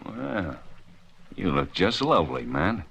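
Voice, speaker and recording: An actor playing a tough, sardonic police lieutenant in an old-time radio crime drama, speaking in clipped, commanding lines. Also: male voice, low voice, very animated, slow, gravelly, fairly bright tone, husky, exaggerated voice. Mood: relaxed, wry.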